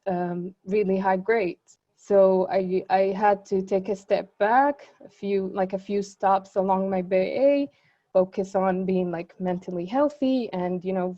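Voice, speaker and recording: A young woman whose first language is Arabic speaks earnestly and reflectively over a video call. She pauses often on fillers and restarts her sentences. Her voice is mellow and soft-edged, and the audio is slightly muffled. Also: mid-range voice. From Israel. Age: young adult. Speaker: student panelist. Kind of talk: webinar panel talk.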